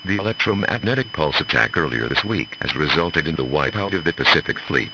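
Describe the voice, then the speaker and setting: A man's voice: very deep voice, animated, brisk. A man playing a grave government announcer, reading a formal, stern emergency message over a noisy radio-style broadcast.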